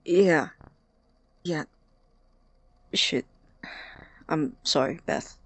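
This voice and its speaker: A woman playing an anxious, guarded college-age young man in an audio drama, speaking haltingly and apologetically. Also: low-pitched, slightly rough, no echo, full character voice. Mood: nervous, apologetic. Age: young adult. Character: awkward, anxious young male college student, studious and guarded. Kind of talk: naturalistic audio drama acting.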